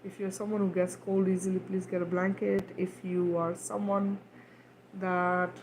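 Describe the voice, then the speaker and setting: A woman's voice: fairly low pitch, level tone, moderate pace, darkish tone, slight background noise, low-quality recording. Loose-talking woman healer on a livestream. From Kenya. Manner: loose, repetitive, frequent "right?" and fillers. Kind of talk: casual livestream talk, guided healing.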